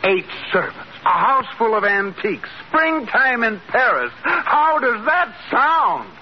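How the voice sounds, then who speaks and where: An earnest, scolding husband in an American radio sitcom of the 1940s. His voice is high for a man and leaps about with exasperation. The sound is muffled with a faint hiss. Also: sing-song, slow, exaggerated voice. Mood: exasperated scolding.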